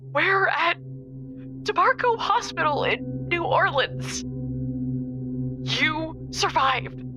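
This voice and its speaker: A desperate young woman in a horror audio drama. Her very high voice trembles and swoops as she pleads slowly. Music plays clearly behind her. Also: exaggerated voice. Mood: grief-stricken, desperate. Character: terrified young woman pleading for help in emails.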